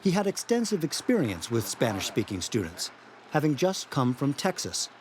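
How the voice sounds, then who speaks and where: American male reporter with lively pitch. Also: moderate pace, slight background noise. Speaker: news correspondent. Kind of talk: scripted news narration.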